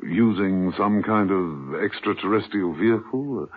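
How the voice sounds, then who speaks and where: A suave gentleman spy who is breezy and wry. His voice is deep and a little husky, and it moves with a lively lilt. It sounds like a narrow, tinny radio broadcast. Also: a little slow. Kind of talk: 1970s radio drama acting.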